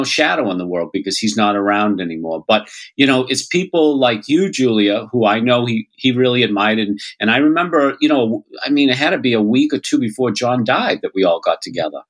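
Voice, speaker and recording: A man's voice: lowish voice, a bit bright. A middle-aged man with a Boston accent, talking casually and earnestly as a guest, often repeating his phrases. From Boston, United States. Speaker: podcast guest, nonprofit partnerships expert. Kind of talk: casual conversation.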